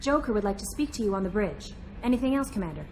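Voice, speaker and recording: A woman's voice: mid-range voice, sing-song, fast talker, darkish tone, a little hiss or hum. A woman playing a friendly, earnest and eager young starship yeoman in a video game. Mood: bright, eager. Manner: upbeat, earnest, personable.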